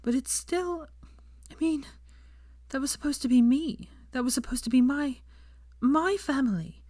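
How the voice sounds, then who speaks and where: A woman voicing a distraught young witch, helpless and guilt-ridden, pleading in halting phrases with a cracking voice, as in a storybook reading. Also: high voice, warm tone, no room sound, studio quality, pitched above the performer's own voice. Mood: grieving. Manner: pleading, halting, breaking off sentences.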